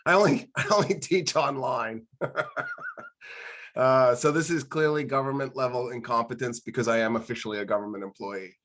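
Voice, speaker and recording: A man's voice: medium pitch, normal speed, fairly bright tone. A man, a government professor, introducing himself on a video call panel in a wry, self-deprecating way.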